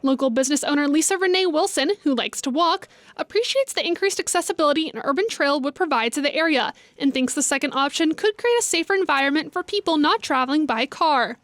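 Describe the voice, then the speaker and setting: A woman's voice: high voice, expressive, fast talker, fairly bright tone, no room sound. A woman reporting local news in a scripted narration.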